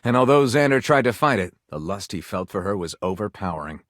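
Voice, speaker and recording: An overwrought male game narrator spinning lurid fantasy tales. His pitch sweeps widely through theatrical, suspenseful phrases in a scripted storytelling style. The sound is dry, with hardly any room to it. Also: lively intonation, a little fast, fairly bright tone, dry recording. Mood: suspenseful, sultry.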